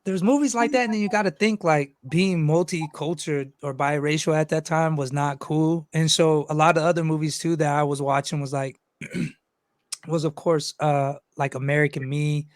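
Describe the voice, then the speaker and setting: Guest rapper, young man, loose rambling talker, a bit high-pitched, dry recording. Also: fairly even intonation, moderate pace, no echo. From Los Angeles. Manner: rambling, loose, swears freely. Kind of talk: casual interview chat.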